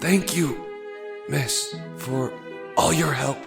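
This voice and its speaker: A man playing a weak, injured patient in an audio drama, halting and pleading through pain. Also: medium pitch, creaky, over loud music.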